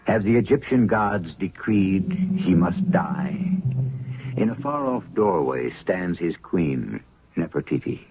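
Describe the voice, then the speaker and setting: A man's voice: deep voice, very animated, slow pace, soft background music. A man hosting and narrating a radio drama with scripted, dramatic delivery. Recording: narrow-band vintage radio recording.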